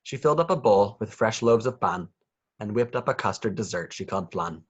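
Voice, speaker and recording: A man's voice: fairly low pitch, animated, fairly quick, slightly rough, dull audio. A man reading a children's picture book aloud with rhythmic, sing-song phrasing. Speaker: children's story reader.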